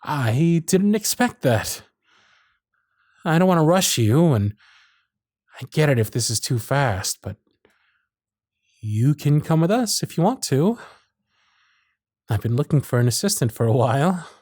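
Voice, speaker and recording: A man acts out a lovestruck circus performer in an intimate audio roleplay. The voice is husky and breathy, recorded close and dry. The delivery is hesitant and earnest, nervously feeling its way through each thought. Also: on the high side, sing-song, unhurried, long pauses, a little mellow, no echo. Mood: flustered, hopeful. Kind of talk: intimate ASMR roleplay acting.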